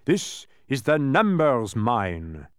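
A man's voice: mid-range voice, very animated, takes it slowly, clear, resonant tone, dry recording, studio quality. A man voicing a proud, instructive talking geometric creature who serves as a guide in a storybook. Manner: instructive, emphatic.

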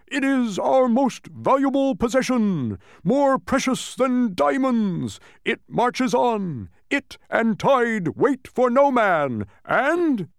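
A man's voice: mid-range voice, sing-song, moderate pace, dark tone, no room sound, clean studio sound. A man voicing an earnest, proud storybook watchdog, sentimental and given to dramatic, lofty speeches. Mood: proud, impassioned. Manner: declamatory, dramatic. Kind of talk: children's storybook character voice.

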